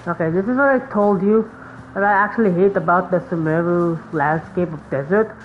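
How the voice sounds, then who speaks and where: A woman's voice: fairly low pitch, normal speed, narrow, tinny audio, slight background noise. A woman streaming a game with casual, informal commentary, rambling and reacting as she plays.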